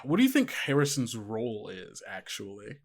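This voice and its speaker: A man's voice: on the low side, wildly swooping pitch, normal speed. A man casually improvising as game master of a podcast tabletop game, thinking aloud and often putting questions to his player.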